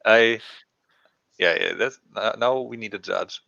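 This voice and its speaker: A man's voice: lowish voice, measured pace, creaky, sharp tone, dry recording. A man chatting casually as a game player, hesitant and repeating words as he thinks aloud, in slightly lo-fi audio. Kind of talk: casual chat while playing.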